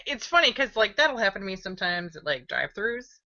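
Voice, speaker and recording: Brief: bright-toned woman co-host, rambly, headset on a muffled video call. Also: very animated, a little fast. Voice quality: deep voice. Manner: rambling with restarts, laughing. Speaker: podcast co-host. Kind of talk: casual chat. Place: room with curtains. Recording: dull lo-fi video call audio.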